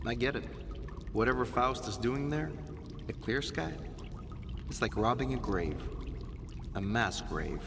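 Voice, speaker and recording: An actor playing a shady, foul-mouthed and weary male swamp guide in a video game, with gruff, slangy, grumbling delivery. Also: mid-range voice, measured pace, long pauses, in a large hall, noisy background. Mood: somber. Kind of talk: video game cutscene acting.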